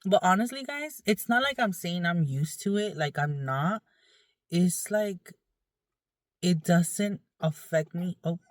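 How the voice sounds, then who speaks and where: A woman's voice: fairly low pitch, animated, takes it slowly, no room sound, studio quality. A woman vlogger talking casually to her viewers in a candid, rambling, conversational way.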